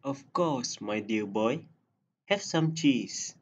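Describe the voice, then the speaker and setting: A man playing a giant's motherly but sinister wife in an amateur radio drama, speaking in a soft, coaxing way. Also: medium pitch, lively intonation, slow pace, dull audio. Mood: kindly.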